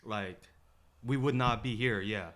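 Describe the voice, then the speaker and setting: A man's voice: lowish voice, measured pace, fairly bright tone. A man hosting a casual group podcast, talking earnestly and often repeating his words and affirmations.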